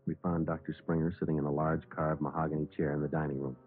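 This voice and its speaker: An actor playing a terse, businesslike police sergeant in a 1950s radio drama, clipped, deadpan and matter-of-fact. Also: male voice, very deep voice, fast talker. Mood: flat, factual. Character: terse, businesslike male police detective sergeant who narrates. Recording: muffled old radio recording with music.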